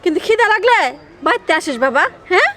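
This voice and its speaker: Woman playing a shrill, piercingly high village mother. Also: expressive, quick pace, sharp tone, exaggerated voice. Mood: sarcastic, scolding. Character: shrill, sarcastic village mother, unbothered by threats. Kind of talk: comedic village drama acting.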